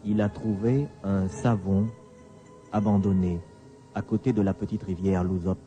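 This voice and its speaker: A man's voice: deep voice, steady tone, mellow, dull audio. Suspenseful male storyteller over music. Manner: deliberate short phrases with suspenseful pauses. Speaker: radio drama narrator. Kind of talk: dramatic scripted storytelling.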